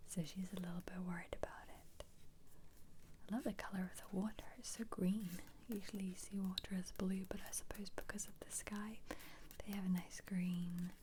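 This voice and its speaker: A British woman speaking in a very soft, breathy whisper, giving casual, gentle ASMR commentary with rambling asides close to the microphone. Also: medium pitch, steady tone, moderate pace, noisy background. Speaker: ASMR creator. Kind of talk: soft-spoken ASMR book flip-through commentary.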